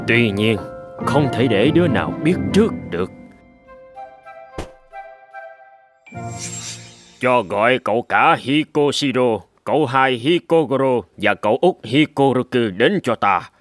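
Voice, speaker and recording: A calm old sword master acted by a man speaks with measured, stern authority. It is a cartoon father role at a middling pitch, with loud music underneath. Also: no room sound, lightly acted. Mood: grave, pondering. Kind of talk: dubbed cartoon storytelling.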